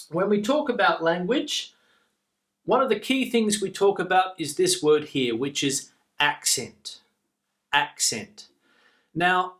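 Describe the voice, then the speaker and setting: An Australian man gives an English lesson, explaining each word patiently and saying the key terms twice. His voice sits a little higher than most men's. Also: a bit bright. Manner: clear explanatory teaching, repeats key words. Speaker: English teacher.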